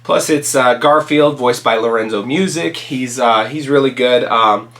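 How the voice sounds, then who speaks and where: Raspy man rambling through movie picks. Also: mid-range voice, unhurried, fairly bright tone. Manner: rambling, many ums and restarts. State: raspy from a cold. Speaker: channel host reviewing movies. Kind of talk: casual solo commentary.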